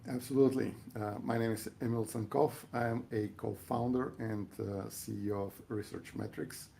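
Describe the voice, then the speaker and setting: Deep-ish guy on a podcast, super creaky voice, stop-and-start formal explaining, slight rumbly noise. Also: fairly even intonation, moderate pace, mellow. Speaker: company chief executive on a podcast.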